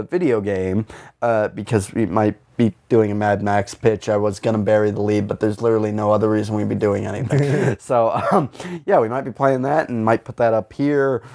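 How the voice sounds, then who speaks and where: A man hosting a comedic podcast in loose, casual chat, rambling and swearing freely with self-deprecating humour. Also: medium pitch. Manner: rambling, profane, self-deprecating, animated when quoting. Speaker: podcast host.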